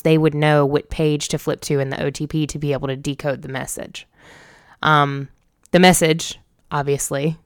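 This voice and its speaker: An American woman hosting a podcast, moving between measured scripted narration and casual banter. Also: low voice, clear, resonant tone, no room sound, studio quality. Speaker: podcast host.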